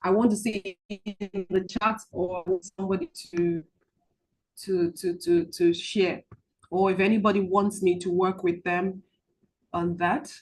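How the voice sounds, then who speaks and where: A woman coaching a group on money mindset over a video call. She talks haltingly with many ums and restarts, and slows into soft guided-relaxation instructions. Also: mid-range voice, low-quality recording. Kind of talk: online group coaching session with guided visualization.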